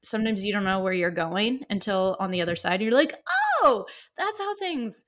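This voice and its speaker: A woman's voice: medium pitch, animated, moderate pace, fairly bright tone. Chatty woman psychotherapist on a podcast. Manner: expansive, laughs easily, many fillers. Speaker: psychotherapist guest. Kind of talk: podcast interview answer.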